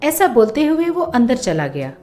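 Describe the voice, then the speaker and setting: A woman's voice: on the high side, big pitch swings, moderate pace, dark tone. A woman narrating a scripted story as a storyteller. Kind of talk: scripted story narration for Hindi moral tales. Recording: music bed under the voice.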